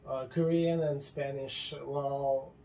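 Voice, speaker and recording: A man's voice: mid-range voice, flat delivery, slow pace, warm tone, poor audio. A young man from Russia with a Russian accent, a guest in a casual podcast chat at his home, hesitant and searching for words. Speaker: guest, model.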